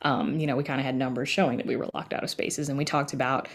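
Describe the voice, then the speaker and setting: A woman's voice: rumbling low, steady tone, fast. A woman guest in a casual interview, explaining things conversationally with plenty of filler phrases. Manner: conversational, explanatory, peppered with you know and like. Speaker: podcast guest, writer and audio drama creator.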